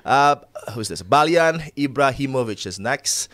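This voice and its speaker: A man's voice: mid-range voice, lively intonation, measured pace, a bit bright. A man hosting a casual football talk show, hesitant with many filler words.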